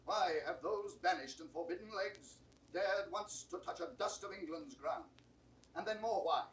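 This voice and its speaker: An actor playing an indignant old English duke, scolding and declaiming with a British accent in a Shakespearean audio drama. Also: male voice, a little high, natural voice. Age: older. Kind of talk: classical Shakespearean stage acting. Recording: roomy, distant, hissy 1960s recording.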